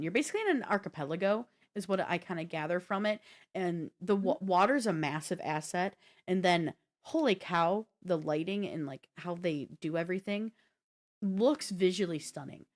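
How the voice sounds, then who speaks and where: A woman co-hosting a gaming show, talking casually and explaining things at length with rambling asides. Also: fairly low pitch. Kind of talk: casual explanatory chat about video games.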